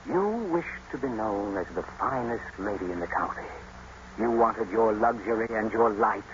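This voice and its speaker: An actor playing a bitter, tormented lover turned cruel husband, brooding and sardonic, in 1950s radio drama style. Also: male voice, lowish voice, moderate pace, close to an everyday voice. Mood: anguished reproach. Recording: noisy, dull old radio broadcast.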